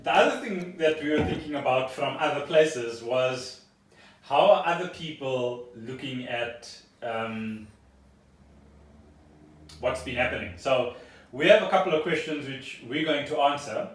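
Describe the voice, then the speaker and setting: The room rings heavily around this man, who sits some way from the mic. He has a South African accent and a mid-pitched voice. He chats casually, as a co-host at home. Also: expressive, a little slow. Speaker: podcast co-host. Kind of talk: casual chat. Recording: echoey room, far from the mic.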